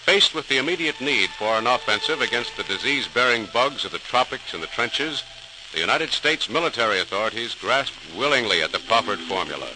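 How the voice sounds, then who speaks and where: A man's voice: medium pitch, lively intonation, brisk. A man narrating a scripted 1940s radio programme as announcer, in a formal, dramatic, declamatory style. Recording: noisy old radio transcription recording.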